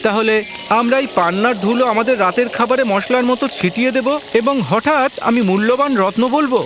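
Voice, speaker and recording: A man voicing a courteous, earnest young prince in an animated fairy tale, speaking politely and formally. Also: extremely high pitch, fairly quick, over music. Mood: hopeful.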